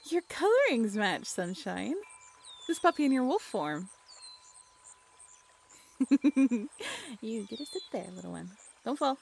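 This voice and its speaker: A woman's voice: fairly high pitch, very animated, slow, creaky, pauses often, a little mellow, slight background noise, full character voice. A woman playing an affectionate, grateful birthday celebrant and werewolf's partner in an intimate roleplay audio drama. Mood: delighted, gushing. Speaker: voice actor.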